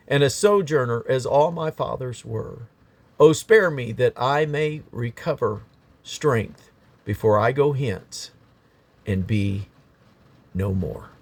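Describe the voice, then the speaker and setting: A man's voice: fairly high pitch, dry recording. A man reading scripture aloud in a solemn, emphatic, declamatory way, stressing his phrases. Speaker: Bible reader.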